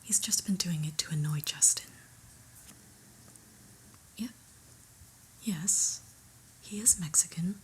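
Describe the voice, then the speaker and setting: A woman whispering an ASMR roleplay as an unimpressed new office boss, deliberate, mildly stern and deadpan, in a breathy voice. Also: lowish voice, a little hiss or hum.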